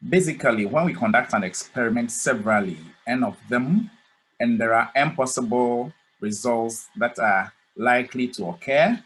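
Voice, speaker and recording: A man's voice: mid-range voice, normal speed, a bit bright, low-quality recording. Man from Ghana presenting statistics research. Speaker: conference presenter. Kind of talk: academic presentation. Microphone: video call.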